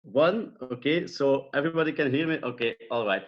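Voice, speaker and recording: A man's voice: medium pitch, brisk. Belgian male trading coach, Dutch native, upbeat live webinar host, lo-fi sound. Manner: upbeat, repeats phrases and checks in with "okay?". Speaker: trading educator. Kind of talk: live online trading lesson.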